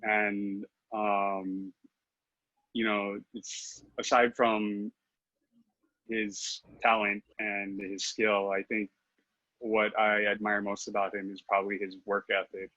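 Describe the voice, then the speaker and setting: A man's voice: lowish voice, little pitch movement, slow pace, bright, forceful tone, no room sound, lo-fi sound. A man, raised in Los Angeles, chatting as a podcast guest, hesitant with many fillers and restarts. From Philippines, raised in Los Angeles. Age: 30s. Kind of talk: casual interview chat.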